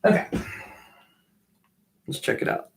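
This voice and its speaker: A man in his thirties, hoarse from losing his voice, chatting casually on a live stream from a small home music room, musing and restarting his sentences. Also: takes it slowly. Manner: musing, trailing off with restarts while playing. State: losing his voice, throat clearing. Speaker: livestream host and bassist. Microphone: webcam mic at desk distance, wears headphones.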